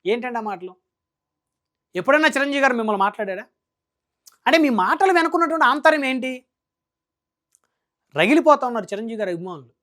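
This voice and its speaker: Fast-talking, emphatic male news commentator. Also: extremely high pitch, lively intonation, a bit bright. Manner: emphatic, scolding, rhetorical questions. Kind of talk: opinionated political news commentary.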